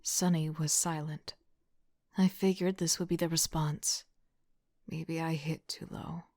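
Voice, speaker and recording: Woman playing young team leader telling her story, slow n thoughtful, kinda low breathy voice, audio drama. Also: fairly even intonation, a little mellow, no room sound, studio quality. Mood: introspective. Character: reflective, dry-witted young female team leader. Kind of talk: first-person audio drama narration.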